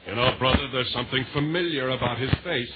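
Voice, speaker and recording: A man's voice: medium pitch, fast talker, heavy background noise, natural voice. A man playing a pompous, courtly princely suitor in a 1940s radio comedy. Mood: formal, suspicious. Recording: dull old radio broadcast, loud music.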